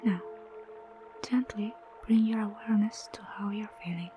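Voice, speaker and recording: A woman guiding a meditation in a soft, breathy near-whisper, gentle and soothing, unhurried with long pauses. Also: mid-range voice, fairly even intonation. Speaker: meditation guide. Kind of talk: guided meditation narration. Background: prominent music.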